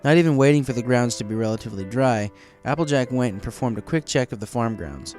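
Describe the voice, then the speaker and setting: A man's voice: mid-range voice, expressive, quick pace, darkish tone. Man narrating a story over music.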